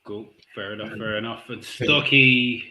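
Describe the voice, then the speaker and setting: Guy hosting a football pod, bright tense voice, roomy echo, bit of hiss. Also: fairly low pitch, fairly even intonation, a little slow. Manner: upbeat, steers the talk around the group. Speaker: podcast host. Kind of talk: casual football podcast chat.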